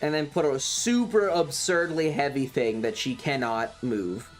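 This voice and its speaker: A man's voice: mid-range voice, a little slow, faint music behind. A man telling a story casually on a livestream, rambling and swearing in an exasperated way, acting out bits of dialogue as he goes. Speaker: streamer.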